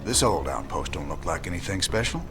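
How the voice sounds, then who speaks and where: An actor playing a stubborn, brooding rebel outlaw in a game cinematic, with a rough American drawl and a dry, determined manner. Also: male voice, deep voice, animated, a little fast, music in the background. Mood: wry. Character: brooding rebel outlaw, former frontier marshal, stubbornly defiant. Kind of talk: video game cinematic acting.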